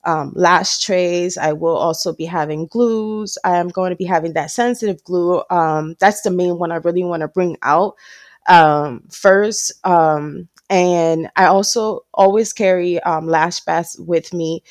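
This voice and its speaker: A woman's voice: lowish voice, measured pace, dry recording, studio quality. A woman who works as a lash artist and trainer, chatting as a podcast guest, acting out bits of quoted dialogue and laughing often. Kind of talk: casual interview chat. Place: based in Tampa.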